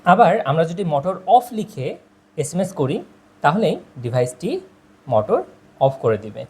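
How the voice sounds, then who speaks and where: A man's voice: fairly high pitch, big pitch swings, normal speed. A man narrating a product demonstration, explaining step by step and addressing viewers directly. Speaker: product presenter. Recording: clear background noise, ambient sound.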